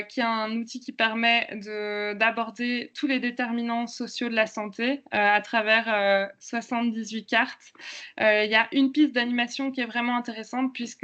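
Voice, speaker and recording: A woman's voice: a little high, fairly bright tone, lo-fi sound. A French woman giving a webinar presentation on health, hesitant and full of fillers over a video call. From France. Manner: hesitant, many fillers and restarts.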